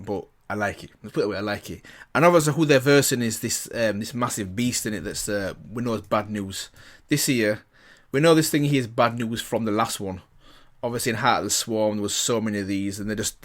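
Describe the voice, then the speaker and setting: A man's voice: on the low side, fast, a bit bright. A man giving casual, enthusiastic reaction commentary as a host, often repeating himself for emphasis. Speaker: host of a reaction and review channel.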